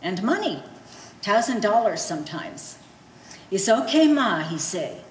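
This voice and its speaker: A woman's voice: mid-range voice, sing-song, measured pace. A woman playing a blunt, scornful old Polish immigrant mother in a stage play, speaking broken English with a Polish accent. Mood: proud, fond. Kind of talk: one-act stage play performance. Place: gallery stage performance, indoors. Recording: echoey hall with faint noise.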